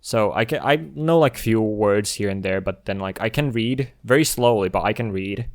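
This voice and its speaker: Low-voiced Finnish man hosting a podcast. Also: normal speed, no room sound, clean studio sound. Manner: relaxed, hesitant, many restarts and fillers. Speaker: podcast host. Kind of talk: casual chat.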